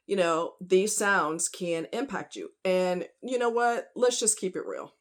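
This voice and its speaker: A woman's voice: fairly low pitch, measured pace. A woman vlogger talking casually and directly to her viewers in a folksy, conversational, emphatic way.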